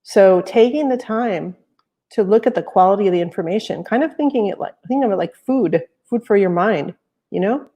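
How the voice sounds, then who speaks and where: An American woman speaking as a panel guest, explaining her view in a measured way with occasional fillers. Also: medium pitch, dark tone. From United States. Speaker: panel guest, software professional. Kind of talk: panel discussion remarks.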